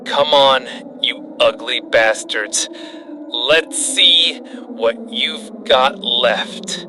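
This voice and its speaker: Guy doing a beat up survivor boss, raspy, super slow terse orders, defiant, horror radio play, noisy background. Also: mid-range voice. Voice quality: raw, rasping. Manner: grim commanding, terse orders. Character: battered, defiant male outpost leader making last stand. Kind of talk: post-apocalyptic audio drama.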